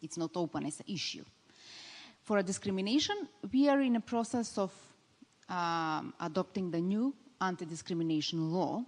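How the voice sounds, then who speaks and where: A woman speaking as a government representative, in halting English with frequent restarts. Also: fairly low pitch, a little mellow. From North Macedonia. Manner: halting, non-native phrasing with restarts. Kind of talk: panel answer. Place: large conference hall. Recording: reverberant conference hall.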